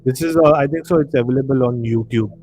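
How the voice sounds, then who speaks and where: A man's voice: mid-range voice, quick pace, warm tone, faint music behind. A man with an Indian accent co-hosting a casual film discussion on a live stream, conversational and repeating himself for emphasis.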